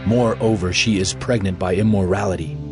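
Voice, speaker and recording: A man plays a grave accuser bringing bad news in biblical audio drama. His voice is very deep, and music plays clearly behind it. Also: steady tone, a little fast, lightly acted. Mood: grave accusing. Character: grave male informant accusing a woman. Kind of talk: dramatized scripture audio acting.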